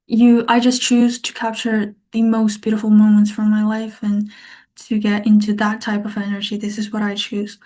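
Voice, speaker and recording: A woman's voice: mid-range voice, monotone, normal speed, mellow. A young woman artist from Kazakhstan, talking reflectively as a podcast guest. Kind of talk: podcast interview.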